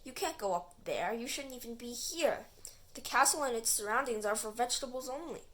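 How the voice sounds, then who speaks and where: A woman's voice: on the high side, expressive, a little fast, fairly bright tone. A woman voicing an old, wrinkled talking tomato in a children's storybook, a firm and officious gatekeeper. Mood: stern.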